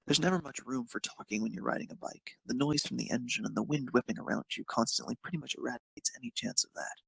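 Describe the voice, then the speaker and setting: A man's voice: mid-range voice, fast, creaky, a bit bright, breathy edge, no room sound, rough recording. A man narrating a piece of fan fiction, reading it aloud.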